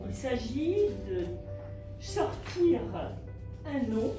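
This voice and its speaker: A woman teacher with a fairly high voice leads the class briskly and encouragingly. She sits back from the mic in an echoey room, with music under her voice. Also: very animated, recorded from a distance. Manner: brisk, encouraging, corrects students. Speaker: French teacher. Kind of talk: leading a class interview.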